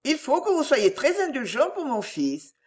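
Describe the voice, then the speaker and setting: Woman voicing a plaintive, high-pitched scheming mother. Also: sing-song, moderate pace, a little mellow. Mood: plaintive, self-pitying. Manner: plaintive, melodramatic, wheedling. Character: self-pitying, scheming middle-aged mother playing the victim. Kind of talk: acted audiobook dialogue.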